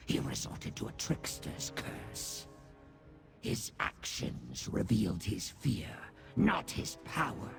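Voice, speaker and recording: An actor playing an embittered fallen demon warlord turned beastly servant in a video game, snarling and gravelly, speaking in a grandiose, halting, theatrical way. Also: male voice, a little high, heavily acted. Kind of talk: video game character acting. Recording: loud game music behind. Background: music.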